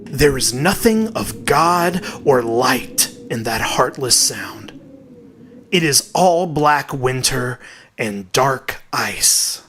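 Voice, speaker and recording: A man narrating a horror story in a dramatic, deliberate, suspenseful way, with weighted pauses. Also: medium pitch, lively intonation, fairly bright tone, slight background noise. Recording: music bed behind the voice. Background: clearly audible music.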